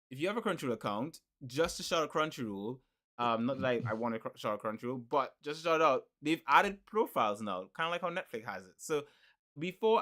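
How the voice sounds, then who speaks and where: A man's voice: medium pitch, fairly bright tone. A man co-hosting a casual podcast, rambling and repeating himself with frequent laughs. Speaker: podcast co-host.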